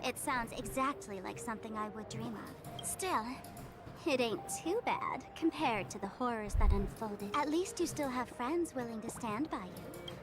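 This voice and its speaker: Woman acting a high-voiced, sly young streamer girl. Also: lively intonation, normal speed, music in the background, lightly acted. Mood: wry, casual. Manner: breezy, sardonic, teasing. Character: sly, casual young female streamer trickster, teasing and nonchalant. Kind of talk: video game character acting.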